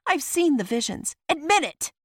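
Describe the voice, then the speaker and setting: A woman voicing a vengeful, righteous magic wielder who accuses forcefully in a fantasy audiobook. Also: on the low side, lively intonation, normal speed, no echo, studio quality. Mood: accusing.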